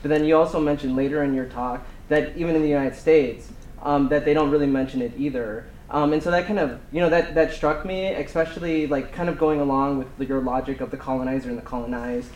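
A man's voice: mid-range voice, steady tone, fast talker, few pauses, a little mellow. A man in the audience asking a long, rambling question full of hedges. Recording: roomy hall with faint noise.

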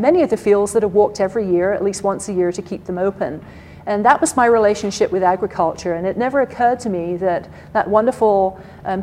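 A soft-spoken woman with an English accent giving an academic lecture at a podium, measured and full of fillers. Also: medium pitch, slight background noise. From England. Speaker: guest lecturer. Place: lecture hall.

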